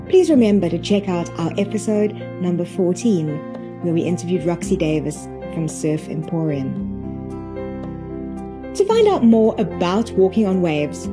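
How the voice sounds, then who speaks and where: South African woman hosting a podcast. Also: mid-range voice. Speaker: podcast host. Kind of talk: conversational interviewing and scripted presenting.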